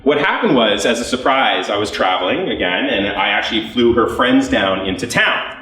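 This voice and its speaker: A man's voice: fairly low pitch, lively intonation, normal speed, pushed and bright, recorded from a distance. Canadian man presenting in an echoey room. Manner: conversational and jokey, tags points with "okay?". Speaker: business development executive presenting. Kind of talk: live sales presentation to an audience. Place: event room with a live audience.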